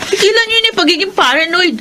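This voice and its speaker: Isang babaeng aktres na Pilipina na gumaganap bilang mapait at rebeldeng labingwalong taong gulang na anak sa drama sa radyo, palasagot at mapanuya. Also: female voice, very high-pitched, expressive, fast, noisy background. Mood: angry, accusing. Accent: Filipino. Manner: confrontational, sarcastic. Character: bitter, rebellious eighteen-year-old daughter. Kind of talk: Filipino radio drama acting.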